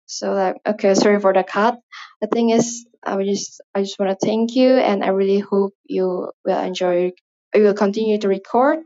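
A woman's voice: medium pitch, fairly even intonation, moderate pace, mellow, highs cut off. A woman from Indonesia with an Indonesian accent, English not her first language, leaving a rambling, hesitant voice message full of fillers. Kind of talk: casual spoken voice message.

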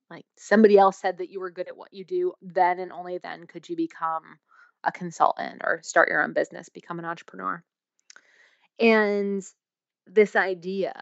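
Woman guest, coach type, lowish voice, reflective and searching, dry slightly dull recording. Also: creaky, a bit bright, no room sound. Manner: thoughtful, searching, with frequent fillers. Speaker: guest, coach and energy healer. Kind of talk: reflective interview talk.

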